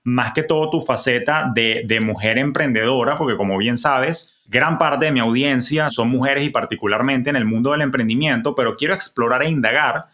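A man with a Venezuelan accent hosting a personal finance podcast, talking in a lively, colloquial, playful way. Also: medium pitch. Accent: Venezuelan Spanish. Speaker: podcast host.

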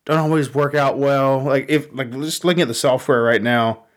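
A man's voice: mid-range voice, steady tone, normal speed. A man co-hosting a podcast in casual chat, rambling and restarting his sentences, sitting a little back from his mic. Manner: rambling, restarts a lot, laughs at his own jokes. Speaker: podcast co-host. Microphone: studio mic, sitting a bit back from it.

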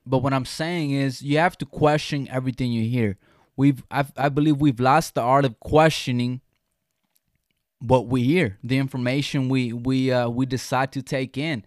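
A young man who grew up speaking Spanish hosts a relaxed podcast, making his points with earnest emphasis. He often restarts his sentences. His voice sits in a middle pitch and sounds dry, with no room echo. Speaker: podcast host. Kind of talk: casual podcast conversation.

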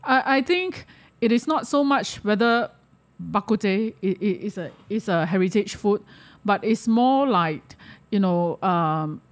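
A woman with a Malaysian accent in casual discussion, speaking haltingly and often checking in with the listener. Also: medium pitch, animated. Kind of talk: casual discussion of social issues.

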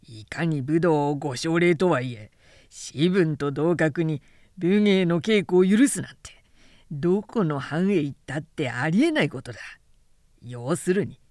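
Woman acting an arrogant young samurai, low and sneering. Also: animated, a little slow, a little mellow, dry recording, clean studio sound. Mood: haughty contempt. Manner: haughty, contemptuous old-fashioned warrior speech. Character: arrogant, sneering young high-born samurai drinking with comrades. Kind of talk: literary period-story reading.

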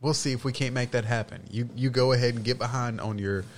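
A man's voice: mid-range voice, fairly even intonation, fast. A man co-hosting a radio show, teasing his co-host in an easygoing way. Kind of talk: casual banter.

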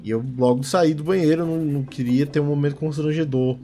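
A man's voice: on the high side, quick pace, warm tone, faint music behind, natural voice. Man playing a nervous, stammering office worker witness. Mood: shaken, confused. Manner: hesitant, stammering, repeats words. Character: nervous male office worker, witness under police questioning.

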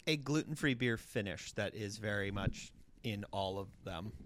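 A young man co-hosting a podcast in a small studio, chatting in a relaxed, rambling way with many fillers. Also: lowish voice, moderate pace, a little hiss or hum. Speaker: podcast co-host, comedian. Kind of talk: casual podcast chat. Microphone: studio microphone.

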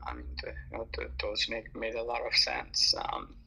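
A man's voice: mid-range voice, monotone, normal speed, creaky, bright, forceful tone. A man in casual chat, hesitant and full of fillers and restarts, who grew up bilingual and learned English after another language. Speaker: listener joining a live audio discussion. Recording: dull lo-fi online audio with faint noise.

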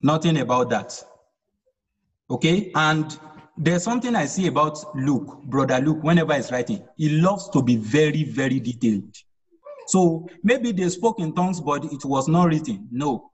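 A man's voice: a little high, animated, normal speed, husky, long reverb tail, low-quality recording. A man whose first language is Yoruba teaches an online Bible study, explaining verse by verse and asking rhetorical questions.

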